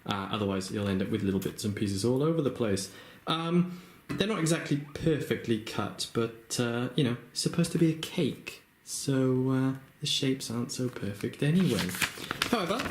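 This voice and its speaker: A man's voice: mid-range voice, echoey room, noisy background. A man casually narrating a felt craft project at home, chatty and hesitant with many ums and uhs. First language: not Japanese. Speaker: crafting vlogger. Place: home room, working at a table.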